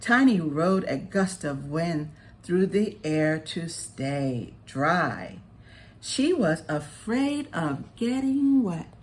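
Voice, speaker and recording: A woman reading a children's story aloud as narrator, with expressive, deliberate phrasing. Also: low voice.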